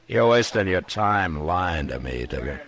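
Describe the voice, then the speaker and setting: An actor playing a stern, laconic Old West marshal in a measured, firm manner, in 1950s radio western style. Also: male voice, low-pitched, big pitch swings, fairly bright tone, exaggerated voice. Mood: stern warning.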